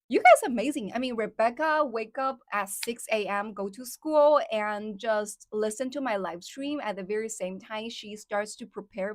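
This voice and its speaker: A woman's voice: fairly high pitch, no room sound. Chatty woman livestream host, dry close sound. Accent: American Midwest. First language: Chinese. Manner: chatty, many fillers like "like" and "somehow", laughs often. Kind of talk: casual livestream chat with viewers.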